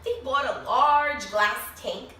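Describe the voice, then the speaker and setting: A woman reading a children's storybook aloud expressively, with dramatic pauses and questions to her young listeners. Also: high voice, pushed and bright. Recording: echoey room, distant mic, faint noise.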